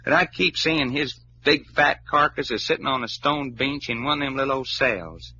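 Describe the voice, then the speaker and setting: An actor playing a folksy, hot-blooded adventurer with a big appetite and a Southern drawl, in old-time radio drama style. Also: male voice, medium pitch, moderate pace, sharp tone. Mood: wistful. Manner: folksy drawl full of colorful slang. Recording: muffled, hissy old radio recording.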